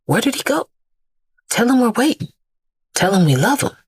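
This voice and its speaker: An actress voicing a bewildered, plaintive pigeon that pleads and longs, in an audio drama. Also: female voice, a little high, big pitch swings, moderate pace, breathy edge, pitched above the performer's own voice. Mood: pleading. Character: pleading, bewildered pet pigeon longing for a lost boy.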